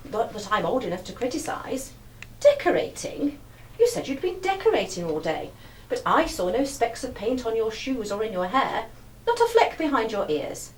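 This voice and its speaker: A woman acting in a live radio play as a clever, teasing young lady detective, with a British accent and breezy, genteel old-fashioned phrasing. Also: fairly high pitch. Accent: British English. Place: live stage performance recorded from the audience area. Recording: distant echoey hall, faint noise.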